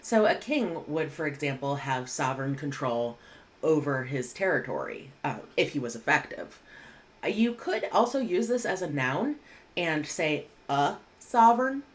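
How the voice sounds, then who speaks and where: A woman, teaching English vocabulary in a clear, measured way with casual asides, recorded at home on a webcam. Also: low-pitched, sing-song. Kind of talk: vocabulary lesson. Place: home room indoors.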